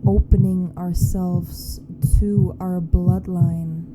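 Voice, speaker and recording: A woman guides a meditation in a very dark, soft tone that barely rises or falls. She speaks extremely slowly and deliberately, leaving long pauses between short phrases. Faint music hums underneath her hushed delivery. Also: flat delivery, poor audio. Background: meditation music with a low hum.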